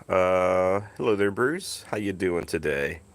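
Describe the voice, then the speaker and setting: A man's voice: low-pitched, lively intonation, vocal fry. Easygoing man with a lingering cough. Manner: easygoing, chatty, unhurried. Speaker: live-stream host. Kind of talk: casual live-stream chat. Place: outdoors, then indoors at home. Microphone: phone.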